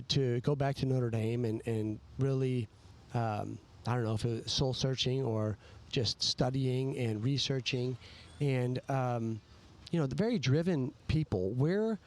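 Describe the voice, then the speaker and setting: A man hosting a casual interview, speaking in a halting, rambling way and often restarting his thoughts mid-sentence. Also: mid-range voice, a little mellow. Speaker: podcast host.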